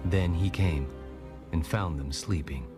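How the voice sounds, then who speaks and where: Very deep male scripture narrator. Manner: measured, solemn. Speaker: Bible narrator. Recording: soft music behind the voice. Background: faint music.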